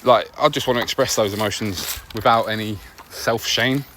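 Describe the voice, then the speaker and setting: A man giving an earnest, reflective podcast monologue on an outdoor walk, speaking conversationally in short phrases with pauses between them. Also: on the low side, sharp tone. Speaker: podcast host. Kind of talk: reflective personal monologue while walking a dog. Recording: noisy outdoor recording with nature ambience.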